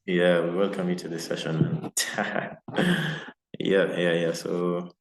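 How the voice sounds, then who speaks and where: A man's voice: deep voice, takes it slowly. A man teaching a casual live coding lesson on a video call, with lots of fillers. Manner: relaxed and casual, many fillers. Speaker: coding instructor. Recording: roomy echo, faint noise.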